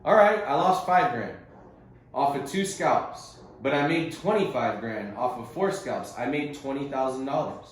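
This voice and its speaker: A man's voice: mid-range voice, animated, measured pace, heavy reverb, poor audio. A man coaching a group on a conference call, explaining things informally and conversationally with casual slang. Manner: casual and conversational, uses slang like bro and bud.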